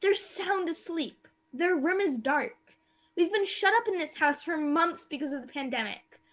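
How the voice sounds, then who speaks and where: Radio play role, female actor: bold teen sister, very high voice, impatient and urging. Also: lively intonation, normal speed, a bit bright. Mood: eager bossiness. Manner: urging, confident. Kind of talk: amateur radio play acting.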